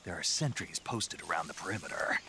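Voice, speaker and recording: An American man playing a gruff, terse special forces soldier in a video game cutscene, gravelly and dry. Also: expressive, brisk, background noise. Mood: hushed, businesslike. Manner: terse, low-key, dry.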